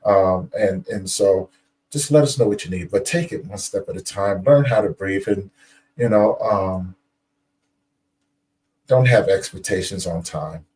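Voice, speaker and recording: A man's voice: sits low, unhurried, a little mellow, far from the mic. A man hosting an interview, upbeat and effusive, with frequent fillers and repeats. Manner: upbeat and effusive, repeats "guys" and "you know". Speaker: podcast host. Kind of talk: casual interview chat.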